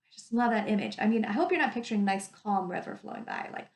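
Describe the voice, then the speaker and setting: A woman teacher reading a story to children in an animated, emphatic way, adding her own commentary. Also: mid-range voice, fast talker. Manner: animated, emphatic, stretches descriptive words. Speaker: teacher reading aloud to pupils. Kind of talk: expressive storybook read-aloud with commentary to children. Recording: echoey room sound.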